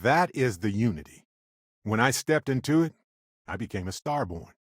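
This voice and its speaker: An actor playing a warm, wry, chatty space explorer companion in a video game, with an affable, teasing and theatrical delivery. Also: male voice, medium pitch, animated, moderate pace, breathy edge, no room sound, studio quality. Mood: reflective.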